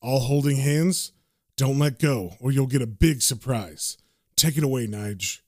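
A man's voice: measured pace, no room sound, studio quality, natural voice. A man voicing a cynical, hard-bitten male occultist in a comic-book horror story, bossy and slangy. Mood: wry. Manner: low, sardonic, slangy, bossy.

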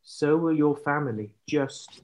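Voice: mid-range voice, a little slow, a little mellow, natural voice, poor audio. A storyteller voicing a stern, solemn prophet who scolds and warns in a children's Bible story. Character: stern, grave adult male prophet.

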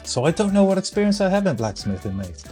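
A man's voice: high voice, very animated, fast, dark tone, faint music behind. A Welsh man co-hosting a podcast in casual chat, joking and teasing his co-host. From Wales. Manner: joking, teasing, calls people mate.

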